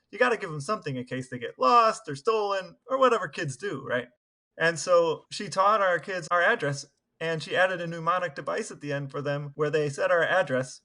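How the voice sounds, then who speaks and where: A man co-hosts a podcast in casual banter. His voice is fairly high and bright, with lively swings in pitch and a dry, playful wit. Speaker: podcast co-host.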